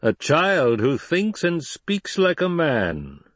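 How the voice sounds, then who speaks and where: Guy doing a stern desert tribe boss for an audiobook, formal n commanding, pitched up, really declaims. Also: huge pitch swings, slow, fairly bright tone, dry recording, heavily acted. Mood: wary, appraising.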